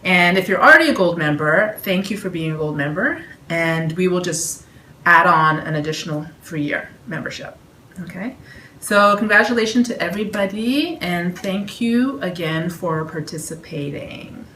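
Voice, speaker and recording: A woman with a Canadian accent, her voice sitting a little low, chatting casually with lots of fillers. She is recorded at a dining table at home by a camera some distance away, in an echoey room with faint hiss. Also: expressive, moderate pace, sharp tone. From Toronto. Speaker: vlog host. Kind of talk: casual announcement. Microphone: camera across the table.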